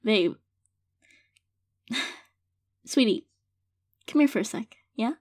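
Slow-talking, affectionate girlfriend played by a woman. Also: on the high side, expressive, no room sound, studio quality. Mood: tender, coaxing. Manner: soft-hearted, hesitant, halting, gently sincere. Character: affectionate girlfriend tenderly reassuring her partner. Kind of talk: intimate audio roleplay, casual monologue to listener.

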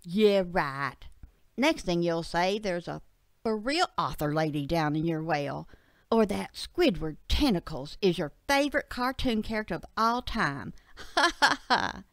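A woman's voice: lowish voice, big pitch swings, unhurried, no room sound, clean studio sound. A woman voicing a cheeky, scornful boy from a trailer park, slangy and sneering, in an audio story. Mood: mocking.

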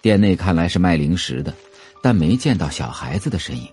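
A man's voice: low voice, very animated, a little fast, a little mellow. A man reading scripted narration for an audio drama, telling the story as a novel's narrator. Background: faint music.

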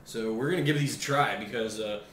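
A man's voice: medium pitch, a little fast, fairly bright tone. A young American man co-hosting a beer tasting, chatting casually and informally with plenty of swearing. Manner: informal, profane. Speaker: co-host of a beer tasting show. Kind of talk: casual chat. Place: small kitchen at a table, indoors. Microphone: camera mic across the table. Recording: echoey room, background hiss.

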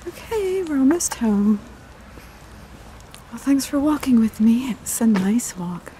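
A middle-aged woman talks in a mellow, slow, meandering way, as if strolling along with a friend. She is recorded outdoors on a handheld camera, with light ambient noise and traffic around her. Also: on the high side, sing-song, poor audio. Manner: gentle, unhurried, meandering. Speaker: ASMR video creator. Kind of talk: casual ASMR roleplay chat while walking. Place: outdoors on paths through woods and streets. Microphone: handheld camera at arm's length.

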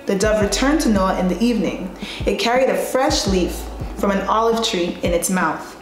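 A young adult woman hosting a Bible storytime, reading and telling stories to camera in a playful, expressive, colloquial way. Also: mid-range voice, normal speed. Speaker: host of a Bible storytime show. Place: small bright indoor room at a desk. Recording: very echoey room, music behind.

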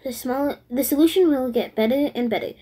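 A woman with a slightly husky voice giving simple step-by-step instructions as a voice-over for a children's craft. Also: high-pitched, fairly quick, warm tone.

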